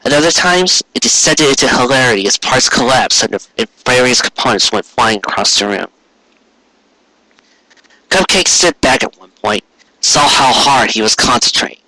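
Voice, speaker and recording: A man's voice: medium pitch, lively intonation, moderate pace, sharp tone, no room sound, low-quality recording. A man narrating a written story expressively, with earnest, dramatic phrasing. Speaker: story reader.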